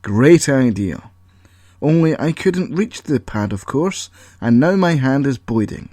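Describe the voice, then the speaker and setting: A man's voice: medium pitch, expressive, a little slow, mellow, dry recording, clean studio sound, pitched above the performer's own voice. A man voicing a bitter, distrustful male outcast in an audio story, grumbling and sarcastic. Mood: sarcastic, annoyed. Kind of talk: audio story character acting.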